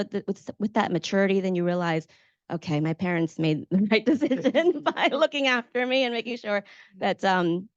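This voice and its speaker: A woman's voice: mid-range voice, no echo. American woman moderating, dry slightly dull sound. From Maryland, United States. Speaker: panel moderator. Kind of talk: conversational personal storytelling to an audience.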